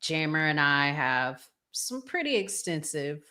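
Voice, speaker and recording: A woman's voice: low voice, very animated, unhurried, bright, forceful tone. A middle-aged American woman hosting a casual livestream talk show in a conversational manner. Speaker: podcast host.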